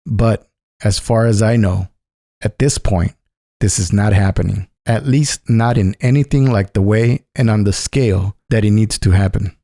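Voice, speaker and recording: Mellow, low-voiced man narrating. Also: moderate pace, gravelly. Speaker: narrator reading written political commentary. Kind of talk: scripted narration, polemical essay read aloud.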